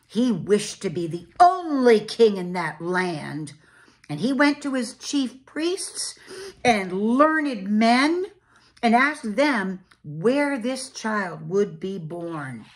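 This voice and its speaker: A woman reading a storybook aloud to children as a church storyteller, with deliberate, dramatic phrasing and pauses. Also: medium pitch, sing-song.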